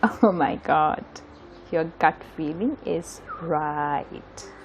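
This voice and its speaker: A woman's voice: deep voice, drawn-out words, music in the background, full character voice. A woman playing a bookish, teasing young Indian student in an audio drama, with an Indian accent. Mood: shy, confiding. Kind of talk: scripted audio drama dialogue.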